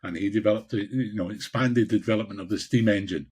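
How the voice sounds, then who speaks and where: A Scottish man in his sixties with a husky voice, answering interview questions in a passionate, emphatic way and repeating phrases for emphasis. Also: fairly low pitch, fast, a little mellow. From Scotland. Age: 60s. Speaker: executive coach, podcast guest.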